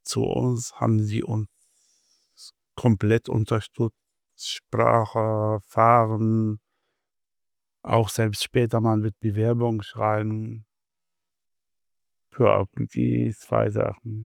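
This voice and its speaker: A young man from Syria, answering interview questions in a halting way and pausing often to find his words. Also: fairly low pitch, completely flat delivery, creaky, no room sound, studio quality. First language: Arabic. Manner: halting, searching for words with many pauses. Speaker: interview guest, bank customer adviser.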